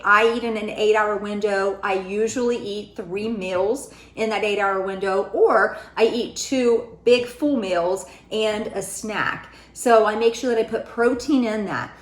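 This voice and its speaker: Slightly raspy woman giving chatty advice. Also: mid-range voice. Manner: chatty and earnest, repeats key points. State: slightly raspy after illness. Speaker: weight-loss vlogger. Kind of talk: casual advice vlog. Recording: echoey room.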